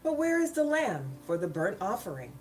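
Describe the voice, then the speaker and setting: A woman's voice: mid-range voice, very animated, normal speed, darkish tone, lightly acted. A woman voicing a trusting young boy who questions his father innocently in a storybook reading. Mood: curious. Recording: background music behind the voice.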